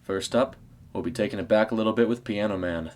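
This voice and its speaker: A man playing a late-night radio host in an audio story, greeting listeners with smooth, polished announcer patter. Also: lowish voice, fast talker, a little mellow, lightly acted. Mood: smooth, welcoming. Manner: welcoming, polished presenter address.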